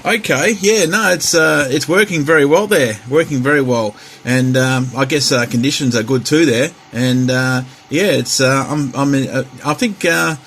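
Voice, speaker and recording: An Australian man chatting casually and haltingly as a hobby radio operator, often repeating himself. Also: mid-range voice, a bit bright. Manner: halting, repetitive. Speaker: CB radio operator demonstrating his equipment. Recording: clear background hiss and radio noise.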